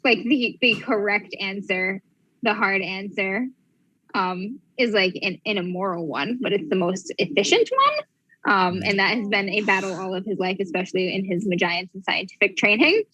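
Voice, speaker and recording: A woman's voice: mid-range voice, normal speed, pushed and bright, slightly rough, rough recording. A woman chatting casually and expressively as a player on a tabletop game show. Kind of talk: casual chat about a game character.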